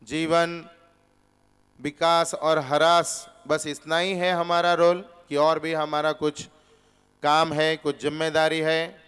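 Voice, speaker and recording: Slow, clear-voiced preacher man. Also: on the high side, level tone, a bit bright. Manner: slow, deliberate teaching with rhetorical questions. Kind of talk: Gita discourse. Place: temple hall with seated audience, indoors. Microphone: stand microphone.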